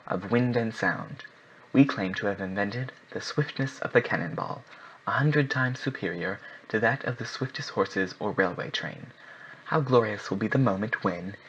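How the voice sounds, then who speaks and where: Man reading a showy, emotional club secretary, lowish voice, muffled sound. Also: sharp tone. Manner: declamatory, emotional. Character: excitable, grandiloquent male gun club secretary. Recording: muffled with faint hiss.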